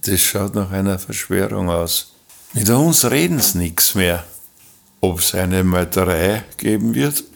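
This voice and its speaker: Slow, gravelly, brooding seaman acted by a man. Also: deep voice, full character voice. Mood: suspicious. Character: brooding, suspicious male seaman with mutinous thoughts. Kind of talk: slow radio play monologue.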